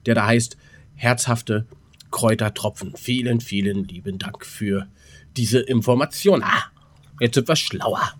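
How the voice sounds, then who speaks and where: A man's voice: medium pitch, unhurried. A man co-hosting a podcast in casual comedic banter, with a colloquial, joking way of talking and mock-formal asides. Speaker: podcast co-host.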